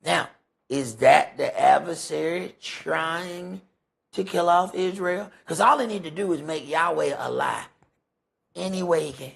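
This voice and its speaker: An American man leading a Bible study, preaching off the cuff in an emphatic way with repeated phrases and rhetorical questions, his voice rough and raspy. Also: on the high side, unhurried, fairly bright tone. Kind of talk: sermon, extemporaneous teaching.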